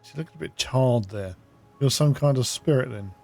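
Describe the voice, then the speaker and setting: A man's voice: medium pitch, animated, moderate pace, creaky, darkish tone, faint music behind. A man doing casual playthrough commentary, reading out his character's replies and reacting as he plays. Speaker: gamer playing and reacting.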